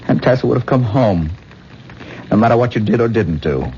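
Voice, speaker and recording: An actor playing a vain, lovesick, obsessive stage actor in a 1970s radio drama, with halting, repetitive, theatrical delivery. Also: male voice, mid-range voice, lively intonation, darkish tone, slight background noise, natural voice. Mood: resigned despair. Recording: muffled vintage radio recording, music behind.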